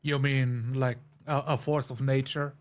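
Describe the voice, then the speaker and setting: Flat-toned man playing a tabletop game. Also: mid-range voice, measured pace. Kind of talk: in-character tabletop roleplay.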